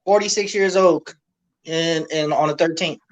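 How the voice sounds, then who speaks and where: A man's voice: on the high side, steady tone, moderate pace. A man, chatting on a live stream in a brash, teasing, slangy way. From New Orleans. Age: 40s. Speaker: co-host of a live chat stream. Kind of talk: casual live-stream chat.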